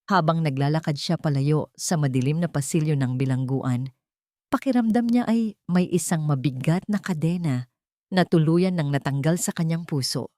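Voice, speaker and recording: A woman's voice: sits low, dark tone, no room sound, studio quality. A woman reading a dramatic scripted story as narrator.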